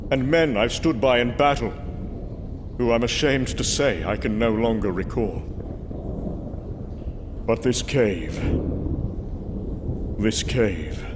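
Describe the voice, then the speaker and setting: An actor playing an immortal Norse god of thunder, brooding and proud, with solemn archaic phrasing in a dramatic audio drama. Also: male voice, medium pitch, background noise, lightly acted. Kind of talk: dramatic comic-book audio drama narration and dialogue. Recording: long reverb, music underneath. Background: music.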